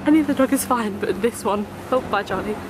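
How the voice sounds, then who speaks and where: A woman's voice: medium pitch, fast, noisy background. A teenage girl chatting playfully to viewers on a holiday vlog, filmed on a handheld camera at arm's length. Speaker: vlogger. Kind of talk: casual vlog chat to camera. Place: outdoors and in a car, holiday trip.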